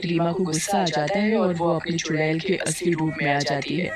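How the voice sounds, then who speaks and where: A woman's voice: low voice, fairly even intonation, moderate pace. A woman narrating a scripted cartoon story. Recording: loud music behind the voice.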